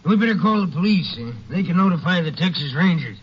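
An actor playing a gruff, blunt, dutiful railroad detective who gives orders in folksy slang in a 1950s western radio drama. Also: male voice, high voice, expressive, quick pace, soft background music. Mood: grim, businesslike. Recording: dull, noisy old radio recording.

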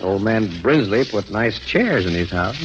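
An actor playing a shrewd, wisecracking crime photographer in a 1940s radio drama, with a wry, confident manner. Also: male voice, on the low side, animated, a little slow, lo-fi sound. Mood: dry, persuasive. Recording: faintly noisy old 1940s radio recording.

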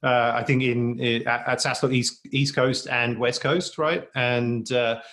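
A man's voice: medium pitch, steady tone, slow, fairly bright tone. Guy hosting a business podcast, lots of uhs and restarts, rambly. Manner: rambling, many fillers and stumbles. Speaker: podcast host. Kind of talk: podcast interview.

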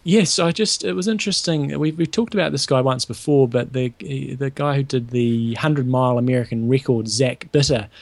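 A man co-hosting a podcast talks in a relaxed, gravelly voice. His recording is dry and close, with no room sound. He chats casually and reads a sponsor spot. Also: medium pitch, normal speed, hardly any pauses, no echo. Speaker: podcast co-host. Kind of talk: casual chat and sponsor read.

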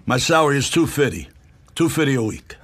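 A man's voice: mid-range voice, big pitch swings, brisk, a bit bright. A man playing a stubborn, indignant young pizzeria delivery worker in a film scene, blunt and profane.

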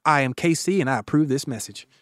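A man voicing a solemn political candidate, making a slow, formal declaration. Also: medium pitch, no room sound, clean studio sound, voice pushed down, natural voice.

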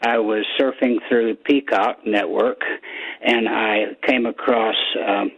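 A man calling in to a radio show, earnestly praising the host and pausing often as he speaks. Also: on the low side, fairly even intonation. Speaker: caller. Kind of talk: phone call to a radio show. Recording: muffled phone line.

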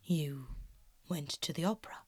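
Woman as hushed, pained older gentleman painter. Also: on the low side, breathy edge, no room sound, clean studio sound. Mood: pained, horrified. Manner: slow, strained, hushed. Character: older male painter, pained, earnest and reproachful. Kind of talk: audiobook character acting.

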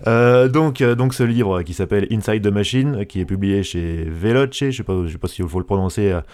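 A man's voice: fairly low pitch, moderate pace, a little mellow. A man in his forties, a car journalist hosting a podcast, speaking eagerly and often stumbling over his words. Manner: enthusiastic, stammers and restarts a lot. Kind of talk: podcast interview.

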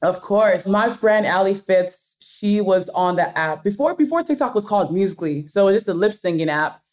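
A young woman guest, a content creator with Nigerian American roots, chats in an energetic, animated way. Her voice sits at a middle pitch. She tells stories with frequent giggles. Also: moderate pace. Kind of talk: casual interview chat.

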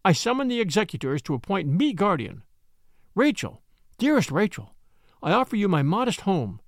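A man's voice: high voice, very animated, moderate pace, no room sound, clean studio sound, voice pushed up, heavily acted. A man reading aloud voices a fervent, pious spinster who pleads in a rising voice.

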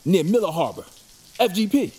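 A man playing a scrappy, mouthy mugger and desperate addict in an audio drama, streetwise, slangy and excitable. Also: sits high, moderate pace, exaggerated voice. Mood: resigned. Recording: clear background noise, rain ambience.

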